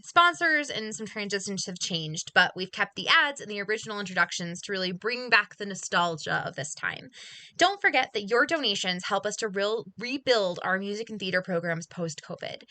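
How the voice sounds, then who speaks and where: A woman's voice: mid-range voice, pushed and bright. A woman hosting a streamed theater show, presenting to an online audience. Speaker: host.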